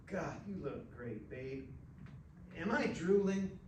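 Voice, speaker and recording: A man's voice: on the high side, animated, slow pace, a little mellow. A man playing a plainspoken, easygoing rodeo cowboy in a story reading, with a folksy manner, recorded in a roomy space. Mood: smitten. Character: laconic, sweet-natured rodeo cowboy, male. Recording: roomy, distant mic with faint noise.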